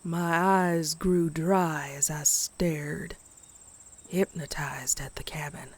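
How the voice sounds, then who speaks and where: A woman's voice: low-pitched, steady tone, full character voice. A woman voicing a frightened pony who narrates a horror comic dub in a suspenseful, dramatic way. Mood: hushed dread. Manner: slow, suspenseful, dropping into hushed breathy whispers. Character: terrified pony narrating a horror tale, dread-filled.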